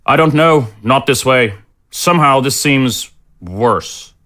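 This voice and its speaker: A man's voice: medium pitch, lively intonation, takes it slowly, pushed and bright. A man playing a proud, stubborn, blustery innkeeper with colloquial speech in a fantasy audio drama. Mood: grim. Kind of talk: scripted audio drama acting.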